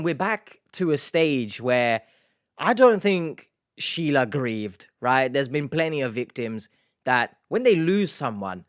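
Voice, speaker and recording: Slow, emphatic young man reacting. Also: on the high side, no echo, studio quality. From England. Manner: animated, exasperated, mixes sarcasm with sympathy. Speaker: reaction video host. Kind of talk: casual commentary on a clip. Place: indoor room under lights.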